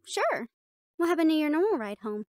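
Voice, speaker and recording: A woman performs a young catgirl cheerleader captain from an audio roleplay, with a high, girlish pitch. She is bossy and sassy, punching her words with sharp-tongued mean-girl confidence. The sound is dry, with no room around the voice. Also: very animated, a little fast, no room sound. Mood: teasing. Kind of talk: scripted audio roleplay acting.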